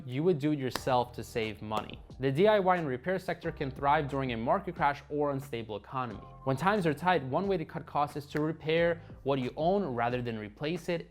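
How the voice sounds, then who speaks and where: A young man whose first language is Russian, hosting an investing video with upbeat talk and joking asides to viewers. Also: medium pitch. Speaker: investing channel host.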